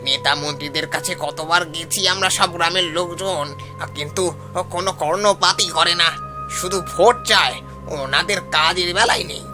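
A man's voice: high-pitched, moderate pace, close to an everyday voice. Man as humble ghostly village father, music behind. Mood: aggrieved. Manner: polite, plaintive, earnest. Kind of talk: animated horror story voice acting.

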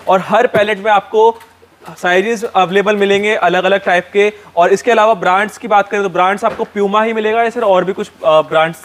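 A man's voice: high voice, steady tone, a little fast, a bit bright. A man hosting a promotional tour of a shoe warehouse, talking straight to viewers in an upbeat sales patter. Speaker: YouTube host.

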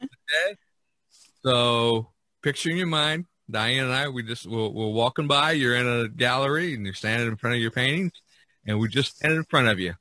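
A man's voice: mid-range voice, moderate pace, pushed and bright, no room sound. A man hosting a casual role-played interview, repeating himself a little as he sets up questions. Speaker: podcast host interviewing artists. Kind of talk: casual play-acted interview.

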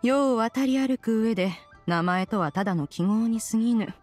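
A woman's voice: a little high, moderate pace, a little mellow, close to an everyday voice. A woman voicing a proud, ancient immortal spirit in a Japanese game, aloof yet caring, with haughty, archaic phrasing. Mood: proud, dismissive. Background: music.

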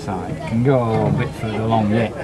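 Older man, New Zealand accent, low steady voice, flat delivery, loud train noise around. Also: brisk, a loud crowd. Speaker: railway volunteer. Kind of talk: casual chat. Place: outdoors on a moving train.

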